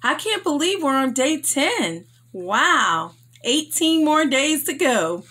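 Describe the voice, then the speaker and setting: Female children's story host, higher-pitched, expressive and emphatic, unhurried delivery. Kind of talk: read-aloud storytelling for children.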